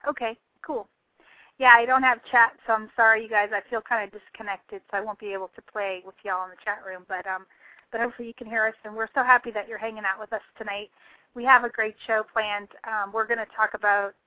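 A woman with a slightly raspy, under-the-weather voice, chatting casually as a radio host calling in. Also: mid-range voice, no room sound. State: recovering voice, prone to coughing. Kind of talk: casual live chat. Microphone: calling in by phone. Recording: dull, dry call-in audio.

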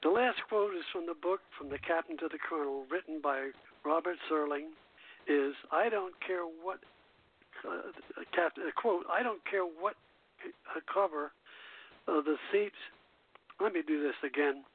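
An older man hosting a radio show, reading quotes and chatting, sometimes halting as he reads aloud. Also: on the high side. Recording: muffled phone-like radio line.

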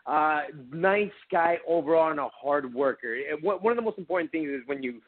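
A man raised in Miami by Cuban parents, an actor guest chatting casually in an interview, friendly and halting with many restarts. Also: on the high side, expressive, a little hiss or hum. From Miami, Cuban American. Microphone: phone call. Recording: tinny phone line.

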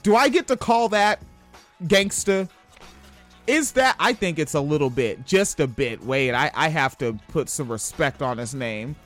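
A young man from Washington State talks in a high, light voice, reading odd news stories and breaking off into rambling, profane asides. He laughs often and keeps things loose and casual. Faint music sits in the background. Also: animated, unhurried, fairly bright tone. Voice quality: high-pitched voice. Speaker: podcast host.

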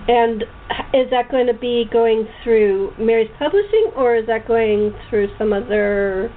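A woman hosting a casual interview chat, talking in a halting, rambling way with repeated words. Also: on the high side. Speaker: radio show host and voice actor. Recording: tinny phone line with clear noise.

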